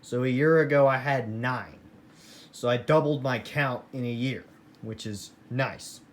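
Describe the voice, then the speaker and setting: Casual American male hobbyist vlogger, mid-pitched, informal and enthusiastic, rambling delivery, noisy room recording. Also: measured pace. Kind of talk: casual rambling show-and-tell of a radio collection. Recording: noisy room with clear background noise.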